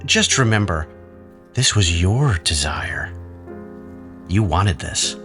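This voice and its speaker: A man playing a grave, commanding negotiator in an audio drama, speaking slowly and solemnly. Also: low voice, very animated, music in the background, heavily acted. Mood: solemn warning. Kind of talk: scripted audio drama acting.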